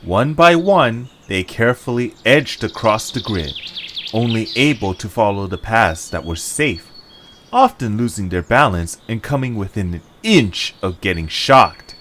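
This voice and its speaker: A man's voice: on the low side, expressive, measured pace, a bit bright, a little hiss or hum. A man reading scripted story narration as the narrator.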